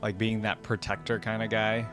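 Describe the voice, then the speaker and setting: A man's voice: fairly low pitch, level tone, normal speed. Man musing casually over background music. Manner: musing, thinking aloud. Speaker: reaction video host. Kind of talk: casual reaction commentary.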